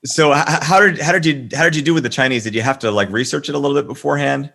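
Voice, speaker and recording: A man hosting a casual interview, asking halting questions that repeat words. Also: on the high side, vocal fry, fairly bright tone. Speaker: host.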